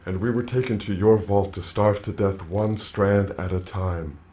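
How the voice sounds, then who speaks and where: Man as solemn low-voiced alien hive mind. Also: fairly even intonation, dark tone, lightly acted. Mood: grave, accusing. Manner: solemn, measured, speaks as "we". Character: ancient alien hive-mind plant colony speaking through a man, grave. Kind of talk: audio drama acting.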